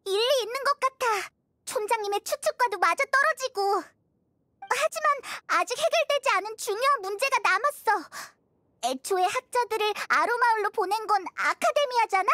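A woman voicing a small, childlike fairy companion in an anime game, curious, excitable and earnest, with expressive, naive delivery. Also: moderate pace, no room sound, clean studio sound, heavily acted. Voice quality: squeaky, childlike.

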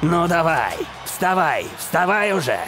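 A man's voice: a little high, sing-song, a little slow. A man playing an arrogant, hulking star striker villain in a dubbed cartoon, gloating and boastful. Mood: defiant.

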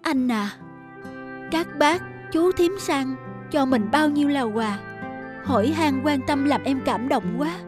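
A woman voicing a young city wife, grumbling but soft-hearted, in a cartoon family drama. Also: high voice, animated, slow, over music. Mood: touched, remorseful. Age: young adult.

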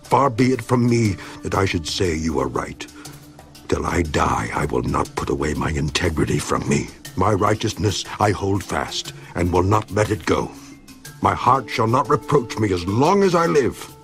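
A man's voice: low voice, very animated, moderate pace, vocal fry, soft background music, heavily acted. A man voicing Job, an aged, suffering but steadfast man, in a dramatized Bible reading with solemn, declamatory delivery. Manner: solemn, declamatory, rising and falling emphasis. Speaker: voice actor in a Bible audio drama.

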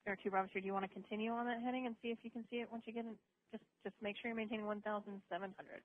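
Woman, air traffic controller, rapid procedural instructions, informal asides, thin band-limited radio sound. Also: mid-range voice, fairly even intonation, phone-quality sound. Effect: aviation radio.

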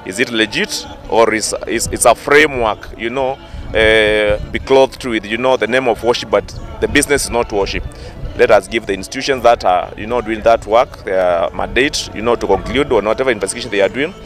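A man's voice: lowish voice, brisk, fairly bright tone, soft background music. Hesitant man at an outdoor press mic. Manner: hesitant, many fillers. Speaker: interviewee. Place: outdoors in open field. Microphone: handheld press mic.

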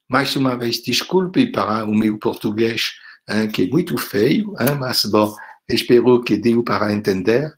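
An older man with a French accent, a visiting professor wrapping up an online lecture on a video call from home, speaking haltingly with many fillers and tag questions. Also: mid-range voice. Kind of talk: closing remarks of an online lecture. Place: home room indoors. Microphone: laptop webcam on a video call.

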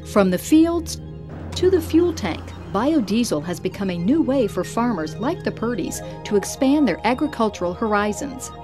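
A woman narrates a farm feature with a lively, swooping voice and an upbeat, bright delivery. Soft music runs under her words. Her reading is polished and scripted. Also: a little high, moderate pace.

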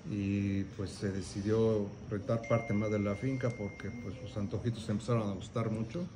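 A man's voice: fairly low pitch, steady tone, normal speed. A man in his sixties with a Mexican accent, recounting family business history as an interviewed restaurant owner. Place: restaurant dining room, indoors. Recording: clearly noisy restaurant room background.

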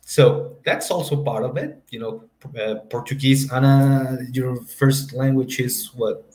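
Man in his 30s, English, rambling with fillers, mid pitch, echoey home room on a webcam. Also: unhurried, darkish tone. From Mexico. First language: Spanish. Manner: meandering, filler-heavy, leans on "you know". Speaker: panel moderator. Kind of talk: academic panel discussion. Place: home room on a video call. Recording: roomy echoey video call audio.

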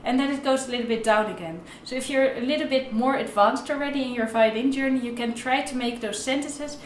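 A young woman speaks in a somewhat high-pitched voice, explaining things step by step as an instructor. She addresses the camera in a tutorial style. The sound is clearly roomy, with the echo of a bare indoor space. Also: a little fast, far from the mic, slight background noise. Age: young adult. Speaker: violin teacher.

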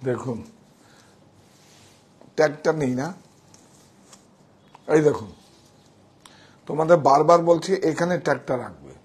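A husky man presents goods in a shop. His pitch sits a little high for a man. He talks casually and emphatically, repeating key phrases as he sells. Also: a little slow, pauses often. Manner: emphatic, repetitive. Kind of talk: casual sales demonstration. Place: indoor saree shop showroom. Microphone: wireless clip-on mic on chest.

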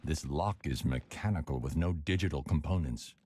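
Stoic masked hero, bottom-of-the-range raspy voice, commanding, for a dark action game. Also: male voice, a bass voice, moderate pace. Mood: grim, controlled. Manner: terse, commanding, controlled. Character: grim, stoic adult male masked vigilante hero.

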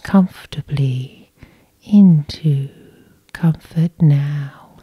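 Female hypnosis guide. Airy, barely voiced whisper, slow and soothing, recorded close and dry. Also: deep voice, breathy edge, no room sound, narrow, tinny audio. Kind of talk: whispered sleep hypnosis, ASMR guided meditation.

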